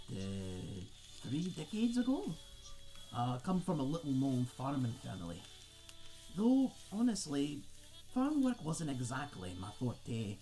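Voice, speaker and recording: A man's voice: medium pitch, darkish tone, low-quality recording. A man playing a friendly, chatty old tavern keeper in a fantasy audio roleplay, with a folksy, rambling, self-deprecating way of talking to the listener. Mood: friendly, reminiscing. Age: older. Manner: rambling, folksy, hesitant, chuckling aside to a guest.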